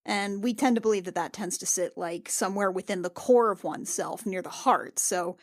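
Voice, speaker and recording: A woman acting out an awkward, protective fantasy warrior in a tabletop game. The speech is halting and wry, easily flustered, at a medium pitch with a close, dry sound. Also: no echo, natural voice. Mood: halting, concerned.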